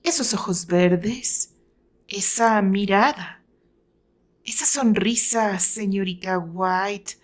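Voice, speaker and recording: A woman voicing a formal, courteous gentleman with a halting, wistful way of speaking in a told story. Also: mid-range voice, natural voice.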